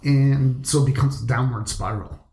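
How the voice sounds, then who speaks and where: Guy hosting a chat, bit of vocal fry, roomy sound. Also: mid-range voice, steady tone, moderate pace, dark tone. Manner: conversational, asks follow-up questions. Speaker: interview host, real estate agent. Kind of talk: casual interview talk.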